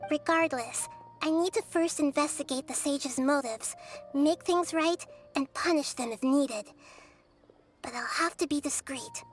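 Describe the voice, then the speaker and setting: A woman voicing a wise, humble young girl deity in a video game, speaking softly and earnestly in measured, formal phrases. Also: high-pitched. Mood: earnest, resolved. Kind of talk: scripted video game character dialogue. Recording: music under the voice.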